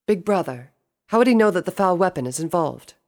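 A man doing a higher-pitched, regal pony princess. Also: lively intonation, a little fast, darkish tone. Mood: questioning, earnest. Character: regal, earnest pony princess, anxious and indignant.

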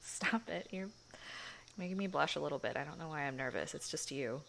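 A young woman voicing a shy, sweet churchgoing girlfriend in an intimate audio roleplay, speaking softly with hushed, hesitant phrasing and frequent giggles. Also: low voice, brisk, creaky, a little hiss or hum. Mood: shy, nervous.

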